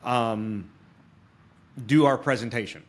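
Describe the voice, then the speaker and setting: A man's voice: medium pitch, slow pace. Talkative man hosting a podcast. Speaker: podcast host and experienced salesman. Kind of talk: conversational podcast interview.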